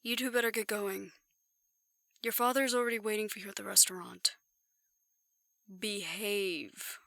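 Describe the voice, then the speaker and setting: A woman acting in an audio roleplay as a composed confidante, measured and gently admonishing. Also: medium pitch, steady tone, gravelly, no echo, studio quality. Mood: gently firm.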